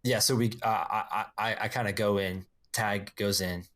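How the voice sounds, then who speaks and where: A man with a low voice plays a tabletop game. He talks casually, as a player at the table would. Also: steady tone, moderate pace, fairly bright tone.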